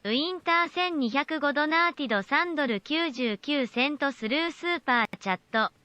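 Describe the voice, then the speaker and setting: A synthetic female voice reading out stream donation messages with a rapid, clipped delivery. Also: high-pitched, lively intonation, bright, forceful tone, dry recording. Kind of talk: text-to-speech readout of donations.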